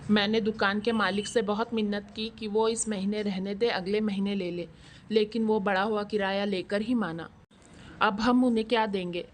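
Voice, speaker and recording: A woman's voice: medium pitch, slight background noise, close to an everyday voice. Woman voicing a poor, humble shopkeeper father. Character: poor, pleading male shopkeeper, a worried father. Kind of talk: scripted moral-story dialogue.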